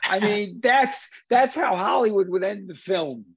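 Older man, high-pitched gravelly voice. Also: unhurried, no echo. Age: older, 60s or 70s. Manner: emphatic, repeats phrases for stress. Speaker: film discussion host and lecturer. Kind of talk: conversational film discussion over video call. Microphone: video call, computer at desk distance. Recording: dull lo-fi video-call audio.

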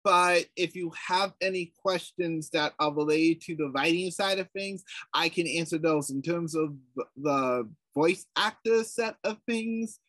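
An American man with a slightly higher voice stumbles and restarts as he chats. His recording is dry and close, with little room sound. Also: measured pace, a bit bright, no echo. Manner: hesitant, restarts a lot. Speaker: co-host, writing lead. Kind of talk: casual video call update.

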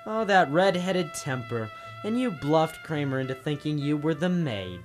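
Actor as a brash, animated radio private eye. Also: male voice, on the high side, normal speed, soft background music, natural voice. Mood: wry, scolding. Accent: American. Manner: snappy, wisecracking, punchy. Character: brash, quick-tempered wisecracking male private detective's legman. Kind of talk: 1940s radio drama acting.

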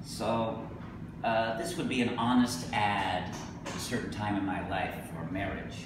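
A middle-aged man giving a live poetry reading, speaking deliberately with emphatic pauses. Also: on the low side, level tone. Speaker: poet. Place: indoor venue with a staircase, live reading. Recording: echoey room from a distance, noisy.

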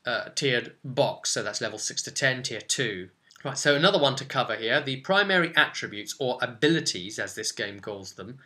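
Bright-voiced young man explaining game rules. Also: medium pitch. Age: 20s. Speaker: presenter of a tabletop roleplaying tutorial. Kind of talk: informal explanatory talk to camera. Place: small furnished room at home, indoors.

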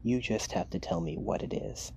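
A man playing a cold, menacing, possessive mad scientist in an ASMR roleplay, clinical and taunting in a hushed way. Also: normal speed, slight background noise, natural voice. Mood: clinical, detached. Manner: clinical dictation mixed with hushed, taunting asides.

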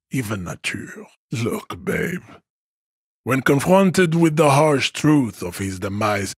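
A male actor voicing a haughty, contemptuous strongman ideologue in a video game, declaiming in a pompous, condescending way with a husky voice. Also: mid-range voice, no echo, studio quality, full character voice. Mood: disdainful, lecturing. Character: haughty, towering male race-theorist strongman, contemptuous and grandiose. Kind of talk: scripted video game character dialogue.

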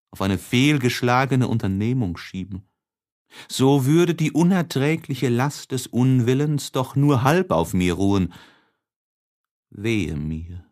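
A lovesick, despairing young man, acted by a male narrator, reading out his diary letters in an audiobook. He speaks slowly at a middling pitch, with heavy, emotive pauses. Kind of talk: dramatic audiobook reading of a classic novel.

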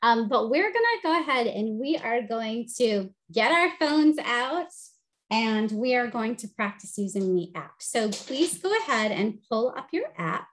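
A middle-aged woman with a high-pitched voice, teaching a webinar over a video call with an upbeat, friendly delivery full of "um"s. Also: sing-song, a little slow. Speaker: presenter and teacher. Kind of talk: instructional webinar presentation. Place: home office, video call.

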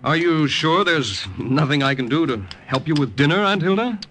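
An actor playing a proper, earnest young Allied spy, speaking and narrating in a measured, polite way in an old-time radio drama. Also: male voice, on the high side, expressive, a bit bright. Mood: sincere. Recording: vintage radio recording with faint music.

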